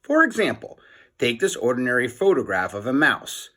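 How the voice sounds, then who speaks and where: A man's voice: medium pitch, wildly swooping pitch, moderate pace, bright, forceful tone, full character voice. A man voicing an earnest, boastful nerdy boy inventor who explains things grandly and emphatically in a children's storybook. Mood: proud explaining.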